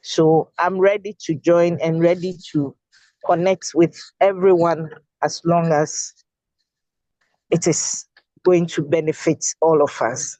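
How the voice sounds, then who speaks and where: A woman's voice: fairly low pitch, steady tone, mellow, dry recording, rough recording. A woman sharing earnestly with a group on a video call. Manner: slow, earnest. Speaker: participant.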